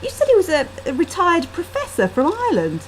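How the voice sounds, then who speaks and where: A woman's voice: very high voice, wildly swooping pitch, brisk, voice pushed up, exaggerated voice. A woman voicing an earnest, concerned young female friend in a short story. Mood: insistent. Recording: faintly noisy laptop webcam microphone.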